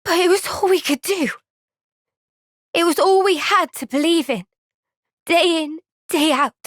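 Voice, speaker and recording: An actress playing a young soldier heroine in a video game, earnest, gentle and resolute, speaking with soft, measured sincerity. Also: female voice, very high-pitched, dry recording, clean studio sound. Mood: urgent, emotional. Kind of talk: video game cutscene voice acting.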